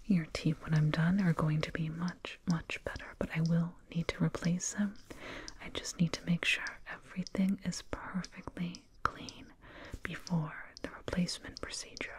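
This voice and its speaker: A woman voicing a soothing, condescending alien dentist in a breathy whispered ASMR roleplay, close to a binaural microphone. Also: lowish voice, flat delivery, close to an everyday voice. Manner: slow, soothing, murmured repetitions. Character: condescending, soothing alien dentist, fussing over a little human.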